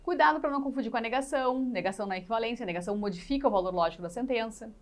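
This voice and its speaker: Casting: female teacher, fairly high voice, didactic explainer who repeats points and asks questions. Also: rapid-fire. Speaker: teacher in an exam-prep class. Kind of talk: live lesson explaining exercises step by step.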